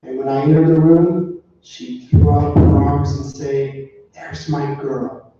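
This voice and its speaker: A man's voice: a little high, steady tone, takes it slowly, mellow, faint music behind. A man reading a memorial tribute aloud at a funeral service. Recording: echoey room, distant muffled mic.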